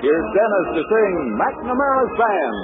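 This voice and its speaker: A man's voice: sits high, very animated, measured pace, over music. An American man working as a radio announcer, reading a script in 1940s radio style. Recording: tinny band-limited 1940s radio recording.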